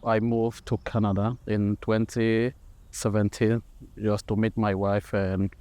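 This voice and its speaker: A man's voice: lowish voice, little pitch movement, measured pace, a little mellow, clean tone. A man from Cameroon speaking conversationally in a documentary interview, often saying "you know".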